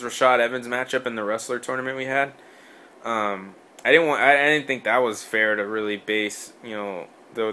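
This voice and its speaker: A man's voice: mid-range voice, sharp tone. A man co-hosting a podcast, talking in a rambling, casual way with many fillers, restarts and swearing. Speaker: podcast co-host. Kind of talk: casual sports chat.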